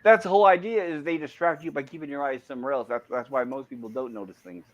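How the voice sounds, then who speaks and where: A man's voice: mid-range voice, fast, muffled recording, over a noisy crowd. A man hosting a casual livestream review, chatting and reading out comments.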